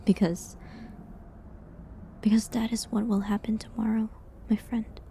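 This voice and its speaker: A woman playing a gentle, sorrowful village herbalist condemned as a witch, speaking in a hushed, halting way in a dramatic audio roleplay. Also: fairly high pitch, flat delivery, slight background noise, close to an everyday voice. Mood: resigned dread. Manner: hushed, breathy, halting delivery.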